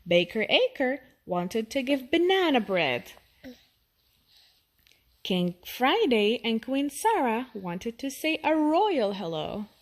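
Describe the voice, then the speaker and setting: A woman reading a children's storybook aloud, expressive and addressing the young listener directly. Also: a little high. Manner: expressive, asks the listener questions.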